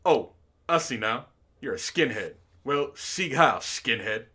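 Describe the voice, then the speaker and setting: Man acting out a loud, angry street heckler. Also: mid-range voice, animated, a little slow, husky, heavily acted. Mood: angry, taunting. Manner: loud, taunting shouting. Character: angry stocky homeless man yelling accusations.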